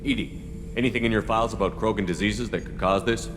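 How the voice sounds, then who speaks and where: Low-voiced military commander played by a man. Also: fast talker, natural voice. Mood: concerned. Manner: direct, no-nonsense. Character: firm, direct male human military commander. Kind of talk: video game character dialogue. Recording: clear ambient noise behind.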